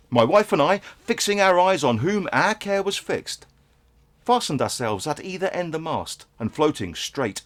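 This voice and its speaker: An actor playing a grieving, world-weary merchant father in a Shakespearean stage monologue, speaking in solemn, measured, theatrical verse. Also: a little high. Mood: tense recounting.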